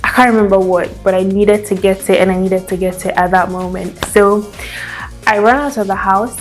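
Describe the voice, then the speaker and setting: A young woman, a native Hausa speaker, chatting casually to camera in a vlog, pausing to hum and think aloud while eating a meal. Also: medium pitch, steady tone, faint music behind. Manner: chatty, thinks aloud with hums and trailing asides. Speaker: vlogger. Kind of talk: casual chat.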